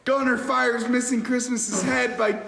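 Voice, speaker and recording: A man's voice: very high voice, level tone, measured pace, creaky, a bit bright. Guy doing theatrical script narration, trips on words, big reverb, faint noise. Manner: theatrical, stumbles over words. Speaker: podcast host reading narration aloud. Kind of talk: dramatic read-aloud of an action film script among friends.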